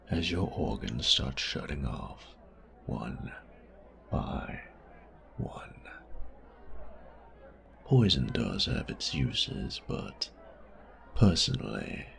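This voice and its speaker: A sadistic male bandit, acted by a man for an audio drama, with an extremely deep, gravelly voice. He is crude and mocking, taking his time over each taunt and sliding into breathy murmurs. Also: very animated, slow pace, long pauses, fairly bright tone, slight background noise, full character voice. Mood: menacing, seductive. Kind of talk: audio roleplay drama acting.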